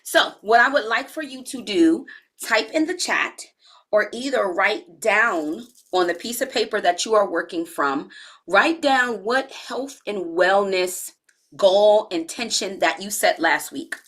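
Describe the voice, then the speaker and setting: A woman in her forties giving a live-streamed health coaching talk, chatty and relaxed, speaking straight to her viewers and using casual slang. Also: medium pitch, measured pace. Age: 40s.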